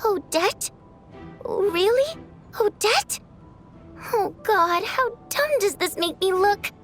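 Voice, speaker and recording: An actress voicing an excitable, gossipy young woman who talks in a chatty way. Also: extremely high pitch, slow, soft background music, exaggerated voice. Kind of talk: video game voice acting.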